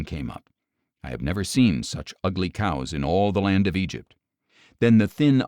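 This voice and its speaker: A man reading as a measured Egyptian king, low-pitched. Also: darkish tone, no echo, clean studio sound. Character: troubled, commanding king of ancient Egypt. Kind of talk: audiobook scripture reading.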